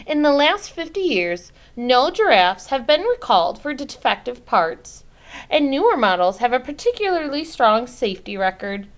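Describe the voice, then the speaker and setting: Woman voicing an eager little girl, very animated. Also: high-pitched, normal speed, voice pushed up. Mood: earnest, persuasive. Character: eager, articulate young girl pleading her case.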